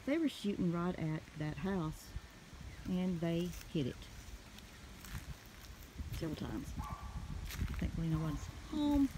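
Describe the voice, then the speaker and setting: A woman with a Southern US accent telling a story casually in a folksy, animated way, recorded on a handheld phone outdoors. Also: lowish voice, takes it slowly, pauses often, background noise. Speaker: homestead vlogger. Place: outdoors in a wooded rural yard.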